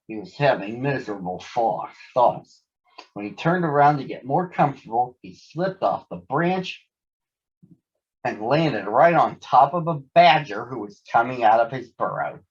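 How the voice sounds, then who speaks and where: A man's voice: mid-range voice, lo-fi sound. A man narrating a children's story from a script as a storybook reader.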